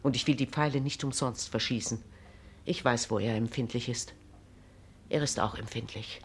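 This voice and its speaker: A woman's voice: extremely low pitch, moderate pace, a little hiss or hum. Proud, imperious female regent, standard German, formal and emphatic, classic radio-play delivery. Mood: accusing, resolute. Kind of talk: classic German stage-drama radio acting.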